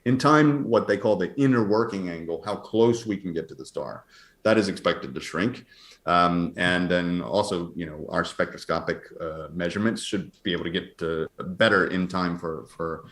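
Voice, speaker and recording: A man speaking as an astronomer guest, explaining science conversationally in a halting, thinking-aloud way. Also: low-pitched, a bit bright. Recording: roomy, echoey sound.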